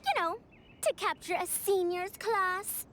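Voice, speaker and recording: A woman's voice: extremely high pitch, animated, unhurried, a bit bright, a little hiss or hum, full character voice. A woman voicing a pompous, formal senior scholar in an anime-style video game, self-assured and elder-like in her talk. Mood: smug. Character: haughty, youthful-sounding female senior scholar who talks like an elder.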